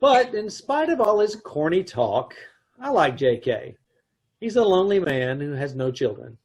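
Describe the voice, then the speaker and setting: A dry-witted husband narrating his own story, played by a man in old-time radio comedy style. His voice is slightly breathy and a bit high. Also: sing-song, a little slow, darkish tone, exaggerated voice. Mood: wry. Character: wry middle-aged husband narrating, dry wit.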